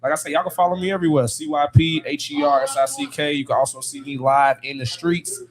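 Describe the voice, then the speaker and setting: A man's voice: on the high side, lively intonation, quick pace. A man, a guest rapper on a radio show, laid-back and laughing easily, with "man" at the end of his lines. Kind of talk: casual radio chat. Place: small radio studio.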